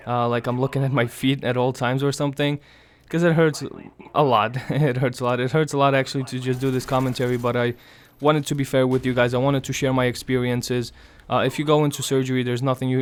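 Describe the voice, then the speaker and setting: A young man speaking quietly and weakly in casual gameplay commentary, his voice raspy and strained after throat surgery, pausing often. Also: medium pitch, slight background noise. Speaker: gaming commentator.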